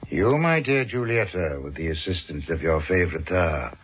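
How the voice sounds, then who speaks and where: An actor playing a suave, cold criminal mastermind in a vintage radio drama, speaking in a measured, pompous, formal way. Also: male voice, deep voice, lively intonation, fairly bright tone, breathy edge, exaggerated voice. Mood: cold, self-satisfied. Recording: dull, muffled vintage radio recording.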